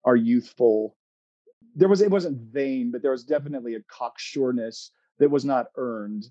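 A middle-aged American man chatting casually as an interview guest, telling anecdotes in a halting, expressive, self-amused way. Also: mid-range voice, no room sound, dull audio. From Oregon, United States. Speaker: interview guest, playwright and screenwriter.

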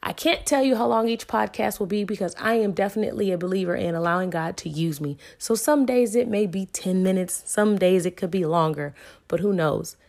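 A woman's voice: medium pitch, fairly quick, vocal fry, darkish tone. A woman in her thirties hosting a podcast, giving an inspirational faith talk to listeners in an earnest, emphatic way with preacher-like repetition. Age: 30s. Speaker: podcast host.

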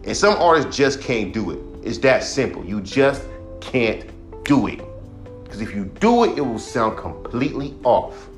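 Male podcast host, 30s, rapper; blunt profane rant, self-described monotone delivery, faint music bed. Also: medium pitch, a little slow. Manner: blunt, heated, heavy swearing.

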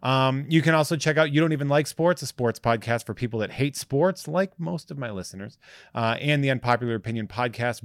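A man's voice: medium pitch, dry recording, clean studio sound. A man hosting a podcast with a slight lisp, chatting and giving plugs in an informal, slangy, effusive way. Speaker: podcast host. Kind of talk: casual chat and plugs.

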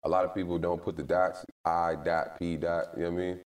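Man, American accent, extremely deep voice, laid-back slang, slightly distant mic. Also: little pitch movement, brisk. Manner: laid-back, slangy, lots of "you know what I mean". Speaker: guest, recording artist. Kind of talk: casual interview chat.

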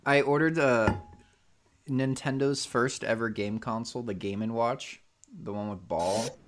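A man's voice: mid-range voice, a little slow. A man hosting a podcast, chatting casually on a voice call, rambling with many restarts. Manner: rambling, lots of restarts and fillers. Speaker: podcast host.

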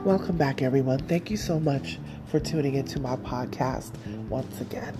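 A woman's voice: a bass voice, normal speed, over music. A woman hosting her solo podcast, chatting casually with a stuffy nose and lots of fillers. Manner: chatty, rambling with many fillers. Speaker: podcast host.

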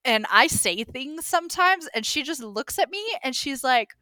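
A young woman co-hosting a podcast, chatting casually, laughing often and chiming in with quick agreement. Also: high-pitched, animated, sharp tone, dry recording. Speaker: podcast co-host. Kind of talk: casual chat.

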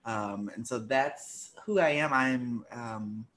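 Bright-voiced man with a slight Arkansas accent. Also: medium pitch, takes it slowly, a little hiss or hum. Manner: laughs often, animated, many fillers. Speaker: guest co-host. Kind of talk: casual podcast chat.

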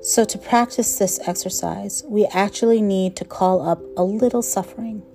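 A woman guiding a meditation with slow, gentle instruction in a soothing, measured manner, letting phrase ends trail softly. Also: medium pitch, faint music behind. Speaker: meditation guide.

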